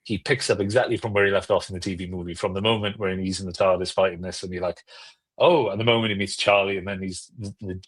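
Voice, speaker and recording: A man's voice: low voice, fairly even intonation, quick pace, slightly rough. A man on a podcast panel, chatting casually and enthusiastically, rephrasing as he goes.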